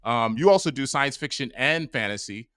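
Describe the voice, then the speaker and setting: Podcast host guy, kinda rambly, lots of likes and ums, bright voice, dry sound. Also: medium pitch, expressive, normal speed, smooth, dry recording. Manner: rambling, many "like" and "um" fillers. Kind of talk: casual interview chat. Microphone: mic on a stand over a video call.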